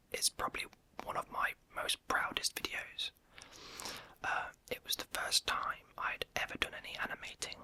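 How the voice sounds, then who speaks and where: A young adult creator answers viewer questions in a steady, fully unvoiced ASMR whisper. The whisper is very bright and crisp, with a sharp airy hiss. Talk meanders with frequent "um"s and quick self-corrections. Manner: hesitant and rambling, many "um"s, self-correcting.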